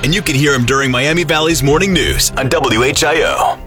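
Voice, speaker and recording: A man voicing radio station promos in a smooth announcer delivery. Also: mid-range voice, a little fast, pushed and bright, faint music behind.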